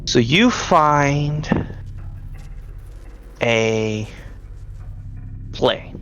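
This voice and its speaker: A man's voice: medium pitch, expressive, drawn-out words, fairly bright tone, a little hiss or hum. A man working as game master, casually narrating and steering a tabletop role-playing game.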